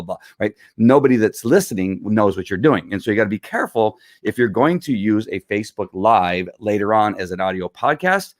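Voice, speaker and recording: A middle-aged man hosting a live video show, walking through a screen-share tutorial in a chatty, rambling way with lots of fillers, recorded on a boom mic in a small home studio. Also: a little mellow, no room sound. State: recovering from losing his voice. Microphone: studio mic on boom arm.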